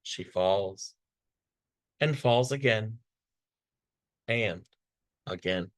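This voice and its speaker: A man reading a children's picture book aloud with expressive, dramatic storytelling delivery. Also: lowish voice, very slow. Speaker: storybook reader.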